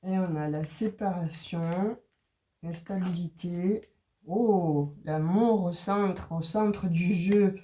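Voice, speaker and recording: A woman's voice: lowish voice, slow, dark tone, slight background noise. A woman with a cold doing an oracle card reading for her viewers, talking casually with many hesitations and restarts. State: has a cold. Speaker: tarot and oracle card reader.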